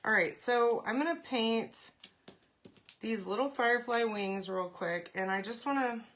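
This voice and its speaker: A woman hosting a casual craft live stream, congested and often clearing her throat, chatting and greeting viewers by name. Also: fairly high pitch, unhurried, a bit bright.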